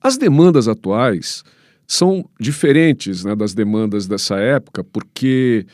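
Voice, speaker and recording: A Brazilian man with a fairly low voice, recorded dry, explains like a professor on a panel. He goes haltingly, repeating words and adding little tag questions. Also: big pitch swings, a little mellow, no room sound. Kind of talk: explanatory interview answers.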